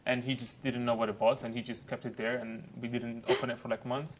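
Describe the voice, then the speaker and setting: A man's voice: medium pitch, flat delivery, a little fast, slight background noise, poor audio. A young man chatting casually as a guest, hesitant with many fillers. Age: young adult. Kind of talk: casual chat.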